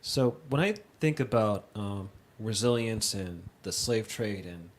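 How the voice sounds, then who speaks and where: A man's voice: lowish voice, unhurried. A man giving a reflective, personal talk. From United States. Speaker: conference speaker. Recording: faint background noise.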